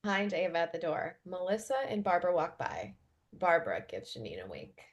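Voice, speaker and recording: A woman's voice: on the low side, sing-song, brisk, dull audio. A woman reading out stage directions as scripted narration at a table read.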